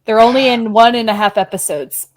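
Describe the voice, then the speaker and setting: A woman with a fairly high voice chats informally as a podcast panelist. She gives her opinions in a casual review style. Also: a little fast. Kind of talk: casual chat reviewing audio dramas.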